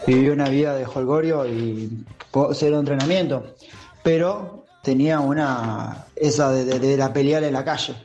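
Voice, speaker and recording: A man giving a hesitant, slow opinion with some amusement. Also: mid-range voice, darkish tone, echoey room, dull audio, faint music behind. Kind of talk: casual opinion.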